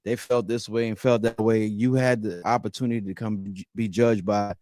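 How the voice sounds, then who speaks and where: A man's voice: lowish voice, fairly even intonation, fairly quick, darkish tone, dry recording, studio quality. A man hosting a livestream podcast in casual chat, emphatic and repeating words as he gets going. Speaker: podcast host.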